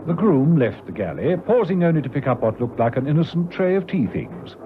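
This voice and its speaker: A man's voice: on the high side, very animated, fairly quick. A man playing the storyteller of a spy radio serial, narrating in a scripted, dramatic style. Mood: suspenseful action. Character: brisk, animated male storyteller of a spy serial. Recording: noisy muffled vintage radio recording.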